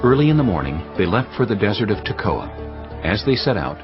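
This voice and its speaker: Man, very deep voice, lively intonation, scripture narration, slightly dull sound, faint music. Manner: measured, expressive storytelling.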